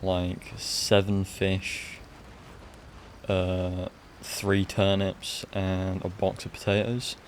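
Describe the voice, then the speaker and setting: Deep, flat-voiced man streaming a game. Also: slight background noise. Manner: blunt, deadpan, halting. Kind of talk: casual live gameplay commentary.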